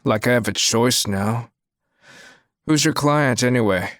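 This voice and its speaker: A man's voice: mid-range voice, measured pace, a bit bright, no echo, natural voice. Man playing a rattled, indignant millionaire, dry sound. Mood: uneasy, resigned. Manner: indignant, uneasy, wary. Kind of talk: audio drama acting.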